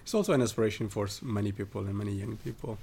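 Man, 30s, from southern Ethiopia, podcast guest, halting speech, deep voice. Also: vocal fry, darkish tone, slight background noise. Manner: hesitant, many fillers and restarts. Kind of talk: casual interview talk.

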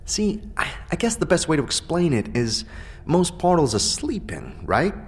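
An actor playing a chatty, wisecracking skull sidekick who explains things colloquially in a fantasy audio drama. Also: male voice, a little high, big pitch swings, moderate pace. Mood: chatty, wry. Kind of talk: audio drama game dialogue.

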